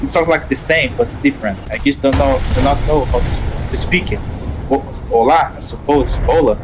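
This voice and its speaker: A man from Brazil with a Brazilian Portuguese accent, a Portuguese native speaker explaining earnestly and haltingly as a moderator over a voice call. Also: medium pitch, noisy background.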